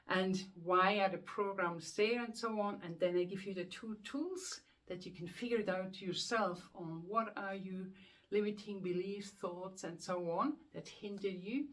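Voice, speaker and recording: A woman with a Swiss accent, a hypnotherapist explaining the subconscious mind to camera in an earnest, repetitive way with rhetorical questions. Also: mid-range voice. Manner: earnest, repeats words while thinking, rhetorical questions. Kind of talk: self-help explainer to camera.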